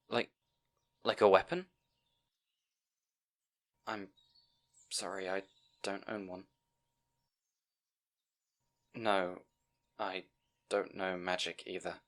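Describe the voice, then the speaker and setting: Guy acting as a shy bookish fantasy scribe, kinda low voice, stammers and rambles, always apologizing, talking right to you. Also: takes it slowly, long pauses, fairly bright tone. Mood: taken aback, sheepish. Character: nervous, bookish young male fantasy scribe, timid and apologetic. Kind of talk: audio roleplay acting addressed to the listener.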